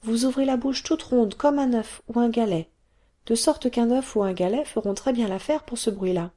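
A woman voicing an excited, curious little cave girl who pleads and chatters. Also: a little high, normal speed, pitched above the performer's own voice, lightly acted. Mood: explaining.

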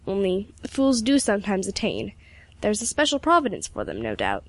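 A woman's voice: medium pitch, wildly swooping pitch, moderate pace. A woman voicing a cranky, opinionated old male mentor in a storybook, grumbling and emphatic. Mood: exasperated.

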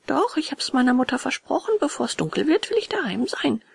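A woman's voice: sits high, sing-song, brisk, creaky, slightly rough, dry recording, voice pushed up, full character voice. A woman voicing an eager, naive wooden puppet boy in a storybook, exclaiming and repeating words emphatically as the boy wavers. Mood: resolute. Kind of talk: storybook character dialogue.

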